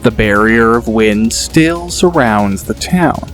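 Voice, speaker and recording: Male audiobook narrator, mid pitch, steady and descriptive. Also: slow, faint music behind.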